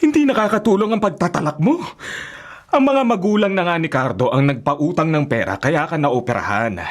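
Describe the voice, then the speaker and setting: A man performs a long-suffering Filipino husband in a radio drama. His high voice rises and falls widely as he scolds and pleads in exasperation. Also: expressive, a little fast, lightly acted. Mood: exasperated. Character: exasperated, poor husband weary of his nagging wife.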